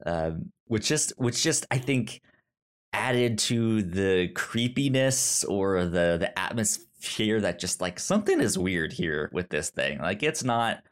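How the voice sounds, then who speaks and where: A man with a stammer talking casually and haltingly as a podcast co-host discussing movies. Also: lowish voice, big pitch swings. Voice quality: stammer, repeating sounds and words. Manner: halting, rambling. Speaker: podcast co-host reviewing films.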